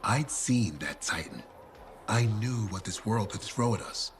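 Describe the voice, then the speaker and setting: An actor playing a showy, self-deprecating merchant, chatty and theatrical, in video game dialogue. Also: male voice, fairly low pitch, animated, a little slow, slight background noise.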